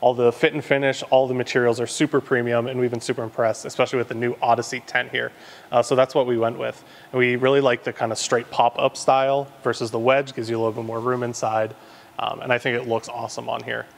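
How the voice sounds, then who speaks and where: A young man casually walking through a vehicle install in a large garage workshop, relaxed and filling pauses with ums and uhs. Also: mid-range voice, gravelly. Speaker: off-road shop presenter. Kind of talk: casual product walkthrough.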